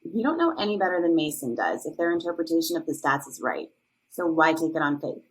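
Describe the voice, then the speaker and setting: A woman playing a skeptical, assertive high school student in a classroom drama, arguing in a reasoning, challenging way. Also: animated, quick pace, breathy edge, poor audio. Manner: argumentative.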